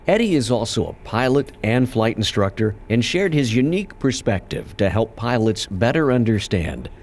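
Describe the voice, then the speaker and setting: A man narrating a scripted introduction as host, with a smooth, even delivery. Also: mid-range voice, normal speed.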